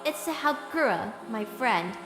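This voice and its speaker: Woman acting as high-pitched young woman, anxious, apologetic, hesitant, self-justifying, audio drama, music behind. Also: expressive, takes it slowly. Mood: brooding.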